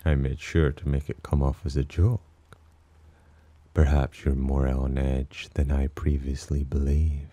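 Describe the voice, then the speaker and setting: A man voicing a haughty, teasing demon who looks down on humans, in an intimate ASMR roleplay with a slow, condescending delivery. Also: level tone, no room sound. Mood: teasing, amused. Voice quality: very deep, dark, husky. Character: haughty, teasing male demon, disdainful of humans, possessively protective.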